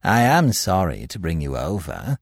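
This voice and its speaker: A man's voice: low-pitched, huge pitch swings, normal speed, no room sound, studio quality, exaggerated voice. A man voicing a courteous, anxious police commissioner in an audiobook, formal and businesslike.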